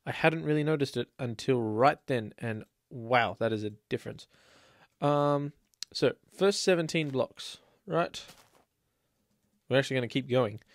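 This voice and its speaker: A man's voice: mid-range voice, measured pace, dry recording, studio quality. A man with a slight cold, chatting casually and rambling as he plays a building game. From Australia. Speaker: let's play host. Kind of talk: casual gameplay commentary.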